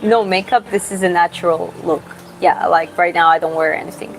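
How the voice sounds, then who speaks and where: Georgian-accented young woman, halting chat. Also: on the low side, fairly even intonation, a little hiss or hum, poor audio. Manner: hesitant, restarts words, thinks aloud. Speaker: creator answering viewer questions. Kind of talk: casual Q&A. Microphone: phone or camera close up.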